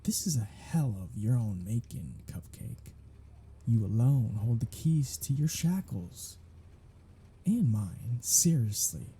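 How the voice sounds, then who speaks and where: A man's voice: mid-range voice, very animated, takes it slowly, dark tone, slightly rough, full character voice. A man voicing a sardonic, flirtatious male vampire held captive on a road trip, in an ASMR audio drama. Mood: teasing. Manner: teasing, sardonic, flirtatious banter.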